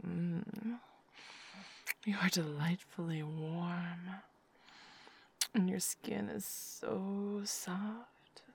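A woman voicing a tender, possessive noblewoman lover in an intimate audio roleplay, talking in soft, slow whispers with quiet laughs. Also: high-pitched, big pitch swings.

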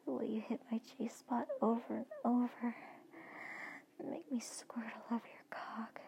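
A woman narrating an erotic story in an intimate, breathy whisper, speaking to the listener as "you". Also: a little high, little pitch movement, measured pace, dull audio, noisy background.

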